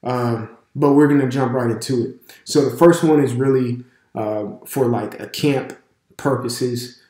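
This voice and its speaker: A man teaches a casual video lesson with a cold-stuffed nose. He rambles loosely, full of fillers and restarts, at an ordinary middle pitch. His voice rings around a roomy, echoing space. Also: warm tone. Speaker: religious language teacher. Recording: echoey room.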